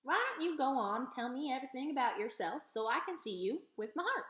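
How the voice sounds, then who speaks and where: Woman reader as welcoming old country granny, storybook character, folksy, bright tone, roomy sound. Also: high voice, sing-song, fairly quick, voice pushed up. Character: old toothless Southern woman, kindly, amused, welcoming.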